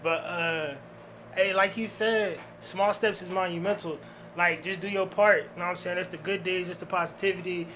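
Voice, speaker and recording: A man's voice: sits high, steady tone, moderate pace, sharp tone, slight background noise. A man talking casually as a podcast guest, earnest and slangy, often repeating short phrases. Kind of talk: casual chat.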